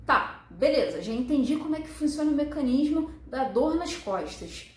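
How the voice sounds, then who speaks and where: A woman with a high voice explains step by step, walking through diagrams as a fitness instructor. Her manner is friendly and full of affectionate diminutives. The room around her is noticeably echoey. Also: lively intonation, normal speed, a little hiss or hum. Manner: friendly, uses many diminutives.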